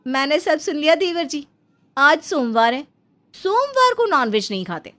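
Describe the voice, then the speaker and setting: A woman's voice: high voice, big pitch swings, normal speed, a bit bright. A woman with an Indian accent voicing a stubborn, chirpy young vegetarian daughter-in-law in a cartoon story, theatrical and mixing in English. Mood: bossy, persuasive. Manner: theatrical, mixes English into speech.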